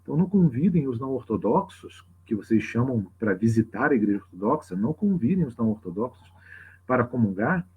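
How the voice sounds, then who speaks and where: Husky Brazilian man explaining informally. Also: medium pitch, very animated, a little fast. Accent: Brazilian Portuguese. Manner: conversational, frequent tag questions. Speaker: religious teacher hosting a live open class. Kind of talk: informal extemporaneous explanation to a livestream audience. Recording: slightly dull lo-fi livestream audio.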